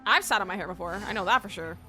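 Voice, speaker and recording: A woman's voice: mid-range voice, very fast, pushed and bright, soft background music. A woman streamer chatting casually and expressively while reacting to a game story.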